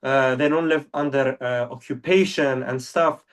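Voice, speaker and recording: A young man born in Lebanon, a non-native English speaker, talking earnestly as an interview guest, halting with many fillers. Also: mid-range voice, fairly even intonation, distant mic. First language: Arabic. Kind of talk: casual interview talk. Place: now living in Germany.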